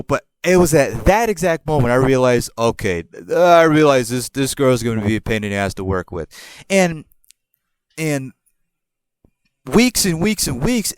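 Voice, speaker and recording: A man's voice: medium pitch, clear, resonant tone, no echo. Man with a New York accent, rambling. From born in Puerto Rico, raised in the New York area. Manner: rambling, profane, animated retelling. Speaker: podcast host. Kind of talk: casual storytelling.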